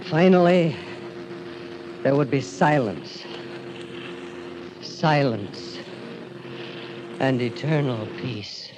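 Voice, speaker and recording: A man's voice: medium pitch, rough recording. An actor playing a despairing, world-weary man who tells his story melodramatically in an old-time radio drama. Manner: melodramatic, brooding. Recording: old radio recording with music.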